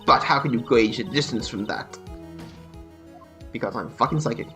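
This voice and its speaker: A man's voice: mid-range voice, normal speed, pushed and bright, soft background music. A young man hosting a let's play, joking crudely and reading dialogue aloud. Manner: irreverent, crude, laughing often.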